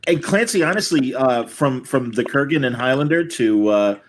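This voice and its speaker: A man hosting a casual panel interview, asking questions politely and haltingly with frequent compliments. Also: mid-range voice, lively intonation. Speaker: host moderating a cast panel. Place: indoors on a group video call. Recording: video call with noise and clicks.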